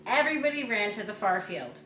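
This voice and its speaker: A woman reading a children's book aloud expressively, with casual explanatory asides to young listeners. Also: mid-range voice. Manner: expressive, acts out dialogue and pauses to explain. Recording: roomy echo, distant mic, faint noise.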